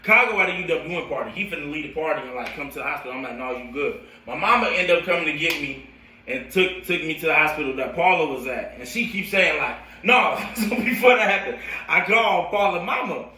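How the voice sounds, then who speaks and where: An American man who works as a coach, telling a personal story in animated, slangy casual speech and acting out the dialogue and sound effects. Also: a little high, fast talker, a little hiss or hum. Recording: roomy echo, distant microphone.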